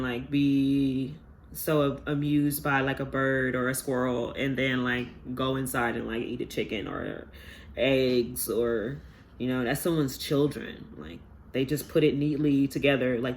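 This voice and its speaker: A man's voice: medium pitch, steady tone, unhurried, a bit bright, a little hiss or hum. A man giving a casual collective tarot reading, rambling and earnest with many fillers, his voice stuffy from a sinus infection. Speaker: tarot reader.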